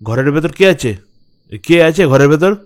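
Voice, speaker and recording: A man's voice: a little high, animated, moderate pace, a little mellow, natural voice. A man playing an indignant, status-proud villager in an audio story, haughty and confrontational. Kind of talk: Bengali audio story acting.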